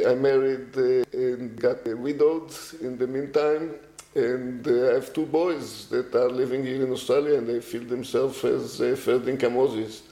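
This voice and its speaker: Older Israeli-born male guest, mellow tone, hesitant delivery full of fillers, echoey room. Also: medium pitch, fairly even intonation, normal speed. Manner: hesitant, many fillers and restarts. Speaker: guest, agriculture technology executive. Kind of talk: unscripted interview answers.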